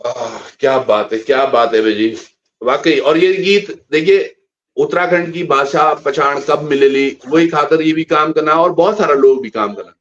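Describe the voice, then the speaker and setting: A man's voice: a little high, normal speed. Male host, muffled stream. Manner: asks long, searching questions. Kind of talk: casual interview. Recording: dull lo-fi live stream audio.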